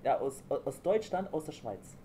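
A man's voice: a little high, sing-song, unhurried, a crowd in the background. A man around twenty-one chatting with strangers on a video call, slangy, teasing and playful. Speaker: video chat vlogger. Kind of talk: casual joking chat with strangers. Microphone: webcam or computer mic on a video call.

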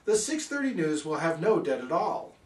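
A man's voice: fairly high pitch, very animated, moderate pace, darkish tone. A man voicing a gleeful, boastful frat-house trickster cat in a rhyming storybook parody. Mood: smug confidence. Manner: boastful, laughing, breezy. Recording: roomy, slightly distant microphone.

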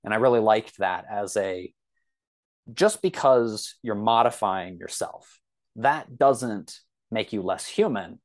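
A man in a casual discussion as a podcast guest, speaking in a halting, enthusiastic way as he thinks aloud. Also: on the low side.

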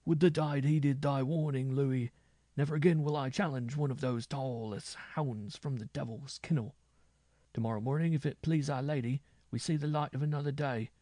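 A man's voice: mid-range voice, steady tone, moderate pace, dark tone, dry recording, voice pushed up, close to an everyday voice. A man voicing a boisterous, boastful medieval minstrel in a storybook tale, with old-fashioned oaths. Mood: shaken, indignant. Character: boisterous bearded medieval minstrel, mocking braggart, later terrified.

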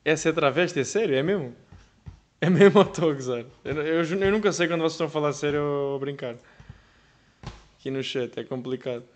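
A man's voice: fairly high pitch, fairly quick. A young man with a European Portuguese accent, casually chatting and joking while reacting to a video on a livestream. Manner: laid-back, laughing, joking with chat. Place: streaming room indoors.

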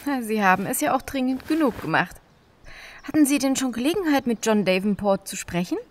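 An inquisitive female heiress played by an actress in an audio drama, with a fairly high voice. She is direct and sceptical, probing with questions. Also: animated, fairly quick, a little hiss or hum, close to an everyday voice. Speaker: heiress, client. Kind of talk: German audio drama dialogue.

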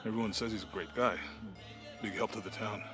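A man's voice: on the low side, steady tone, brisk, close to an everyday voice. An actor playing a loyal, down-to-earth male sidekick in a video game cutscene, with natural, easygoing delivery. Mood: curious. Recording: clear music behind the voice.

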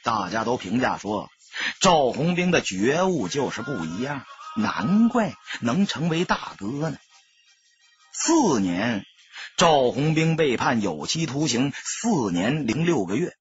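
Husky, very animated male storyteller. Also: mid-range voice, slow pace, muffled recording, faint music behind. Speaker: audiobook narrator. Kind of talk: dramatic scripted storytelling narration.

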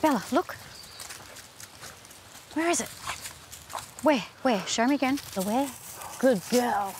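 High-voiced woman coaxing a dog. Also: expressive, takes it slowly, over music. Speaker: truffle farmer with dog. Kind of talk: talking to a dog. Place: outdoors in an orchard.

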